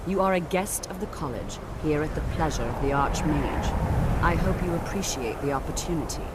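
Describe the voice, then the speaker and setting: A woman's voice: low voice, moderate pace, close to an everyday voice. Stern actress as a senior woman wizard, noisy outdoors. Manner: crisp, formal, authoritative. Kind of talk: fantasy video game dialogue. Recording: loud outdoor ambience behind.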